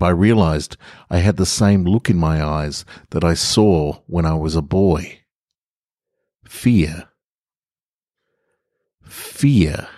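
Deep-voiced man, dry podcast narration. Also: darkish tone, dry recording. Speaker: podcast host and reader. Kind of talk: scripted literary monologue narration.

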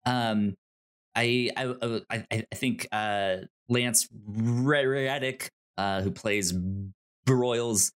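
Give the voice of a man with a mid-range pitch in a casual podcast discussion. He speaks haltingly, often doubling back on words and stammering before he gets them out. The delivery is conversational and unscripted. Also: steady tone, smooth. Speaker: podcast co-host.

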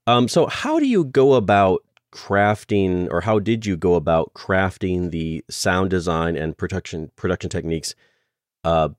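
Male podcast host, low pitch, lively intonation, casual interviewing. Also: no room sound, clean studio sound.

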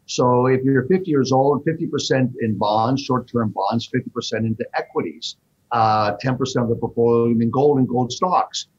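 A man being interviewed, explaining investments in quick, animated bursts with frequent fillers. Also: medium pitch, husky, highs cut off. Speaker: fund manager guest. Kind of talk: interview answers.

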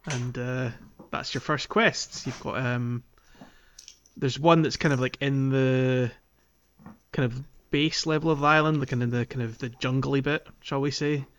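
A man's voice: medium pitch, steady tone, measured pace, a bit bright, clear, resonant tone. Slightly muffled man chatting about games. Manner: hedging, informal explaining with kind of and like. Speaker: podcast co-host. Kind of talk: casual video game discussion.

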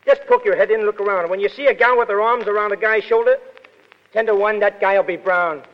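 Rough, jovial American sergeant played by a man, very high, rapid slangy patter, old radio play. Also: fairly even intonation, natural voice. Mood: amused, chatty. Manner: slangy, blustering, stammering start. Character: rough, jovial, blustering army top sergeant. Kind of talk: 1930s radio acting. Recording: muffled, reverberant 1930s radio recording.

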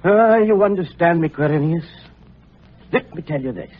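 Casting: brooding, menacing tyrant king; husky, swinging delivery, theatrical radio-play style. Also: a little high, animated, normal speed. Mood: suspicious, scheming. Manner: grandiose, paranoid, theatrical. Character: suspicious, treacherous Judean king, brooding and menacing. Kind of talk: old-time radio drama acting. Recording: muffled old radio recording, music behind.

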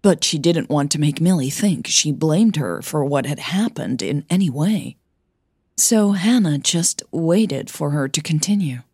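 Woman reading a drama story, slow and measured, low voice, sounds like a narrator. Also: expressive, darkish tone, husky, dry recording, clean studio sound. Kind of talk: scripted audio fiction narration, with dialogue.

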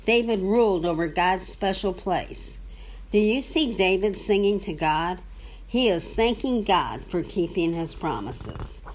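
A woman reading a children's Bible storybook aloud to a young child, with simple, deliberate phrasing and questions for the listener. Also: lowish voice, lively intonation, poor audio.

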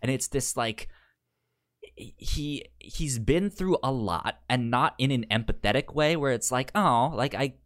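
A man with an average-pitched voice co-hosts a casual film discussion. He speaks haltingly, circling back over words and slipping into loose paraphrased quotes. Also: a bit bright. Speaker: podcast co-host.

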